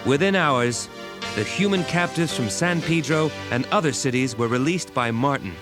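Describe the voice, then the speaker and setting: A man reads a scripted dramatic story as narrator. His voice sits at a medium pitch, with music playing softly behind it. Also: moderate pace.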